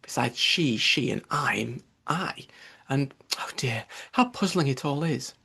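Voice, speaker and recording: A man's voice: mid-range voice, expressive, takes it slowly, gravelly, sharp tone, breathy edge, exaggerated voice. A man voicing an anxious, chattering young girl from a storybook, musing fretfully to herself. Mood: puzzled, fretful. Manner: muses aloud to self, fretful. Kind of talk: storybook character voice.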